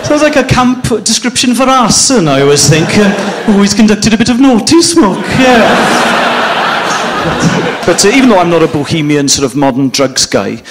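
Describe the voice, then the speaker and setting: A Welsh man whose first language is Welsh, doing a stand-up comedy set on a club stage in a deadpan storytelling manner, jumping into shrill voices when he quotes people. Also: moderate pace. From Wales. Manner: deadpan storytelling with sudden high-pitched acted quotes. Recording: live club recording with audience noise.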